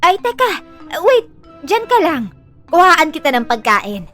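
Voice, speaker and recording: A woman's voice: very high-pitched, big pitch swings, a little slow, fairly bright tone, full character voice. A woman with a Filipino accent playing a friendly, chatty neighborhood auntie in an audio drama, speaking informally. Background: music.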